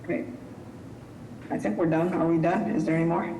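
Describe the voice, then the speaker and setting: A woman chairing a public zoning hearing, brisk and businesslike. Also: lowish voice, little pitch movement, warm tone, slight background noise. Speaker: board chair. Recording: echoey call audio.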